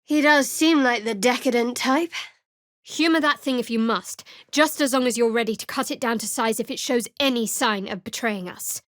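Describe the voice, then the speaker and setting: A woman's voice: on the high side, no echo, lightly acted. A woman playing a guarded, sardonic young cleric, close and dry-miked. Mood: dry, wry. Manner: dry, wry, measured delivery. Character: guarded, sardonic young female cleric adventurer of a dark goddess. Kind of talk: fantasy video game voice acting.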